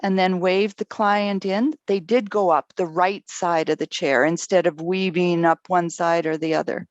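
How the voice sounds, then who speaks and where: A woman's voice: fairly low pitch, fairly even intonation, moderate pace, dry recording, lo-fi sound. A woman, a guest speaker in a webinar, explaining with frequent ums and restarts. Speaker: guest speaker on clinic design. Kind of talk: webinar discussion.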